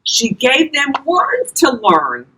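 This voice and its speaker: An older woman reading a children's storybook aloud expressively, talking directly to young listeners in a husky voice. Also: sits high, wildly swooping pitch, slow pace, pushed and bright, rough recording. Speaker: volunteer grandparent reading to children.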